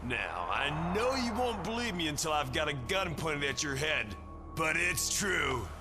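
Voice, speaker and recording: An actor playing a sardonic, tough, wisecracking action hero in a video game cutscene. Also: male voice, fairly high pitch, very animated, measured pace, over music. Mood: threatening. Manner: wisecracking, defiant. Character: sardonic tough action hero, male, trapped on mutant island.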